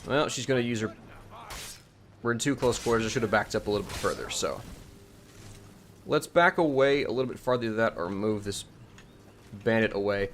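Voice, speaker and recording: A man's voice: on the low side, lively intonation, fairly quick, long pauses, a little hiss or hum. A man giving a casual, unscripted game demonstration, explaining each step and thinking aloud as he goes. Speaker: mod showcase presenter. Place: indoors at home, voice-over on gameplay.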